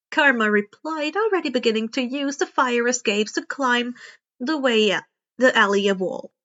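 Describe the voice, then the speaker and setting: A woman's voice: a little high, fairly bright tone. A woman reading fan fiction aloud as narrator, now and then stumbling and rereading phrases. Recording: dull, muffled lo-fi home recording.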